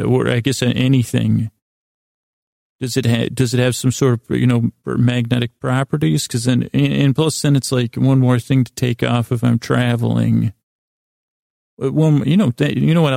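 A man with a heavily creaky, gravelly voice hosts a sleep podcast in a mellow, dark tone. He rambles along haltingly, stammering and circling back over his words. The sound is close and dry, with hardly any room to it. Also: medium pitch, dry recording. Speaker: podcast host.

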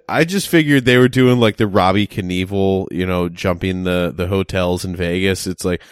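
A man's voice: sits low, measured pace, clean tone, no room sound, studio quality. A young man in casual chat, joking in a laid-back way with lots of fillers.

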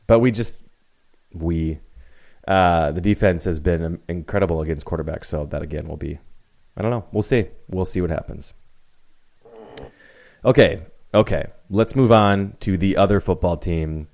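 A man hosting a casual sports podcast, talking in a relaxed, hesitant way with lots of fillers. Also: low-pitched, moderate pace, vocal fry. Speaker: podcast host.

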